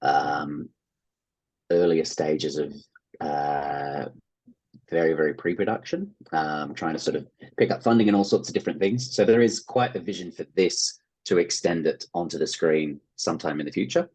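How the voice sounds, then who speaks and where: Deep, husky Australian man chatting. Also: normal speed, a little mellow, rough recording. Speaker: interview guest, playwright and actor. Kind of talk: casual interview chat.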